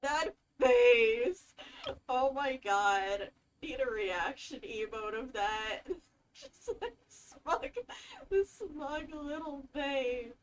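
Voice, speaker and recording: High-voiced woman streamer, unhurried and gushing. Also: sing-song, slight background noise, poor audio. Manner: gushing, reactive. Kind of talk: casual game playthrough commentary.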